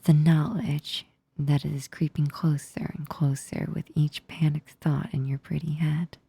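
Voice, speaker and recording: Slow, breathy demon woman acted by a woman. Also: deep voice, flat delivery, dry recording, heavily acted. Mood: sinister, teasing. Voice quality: soft, breathy, often whispering. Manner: slow, intimate, close-up delivery. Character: female sleep paralysis demon, teasingly menacing turned awkwardly tender. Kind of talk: ASMR roleplay. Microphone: close, dry microphone.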